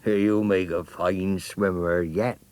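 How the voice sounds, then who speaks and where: A man voicing a slow, solid tortoise in a storybook, measured and mild-mannered. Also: deep voice, fairly even intonation, darkish tone, dry recording. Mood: courteous, encouraging. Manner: measured, courteous.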